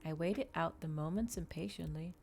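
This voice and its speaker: A woman reading a novel aloud in a soft, measured, hushed ASMR style. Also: low-pitched, creaky, soft background music.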